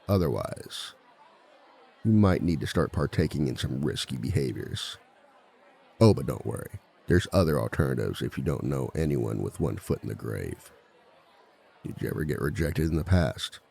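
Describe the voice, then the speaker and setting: Suave male villain, played by a man for audio roleplay: bottomless pitch, thick vocal fry, measured lecturer's delivery with dry wit. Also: warm tone, exaggerated voice. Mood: sly, instructive. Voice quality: very deep, gravelly. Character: suave male villain giving a dating seminar to fellow villains. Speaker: seminar speaker and relationship expert. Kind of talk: scripted ASMR roleplay monologue, seminar lecture.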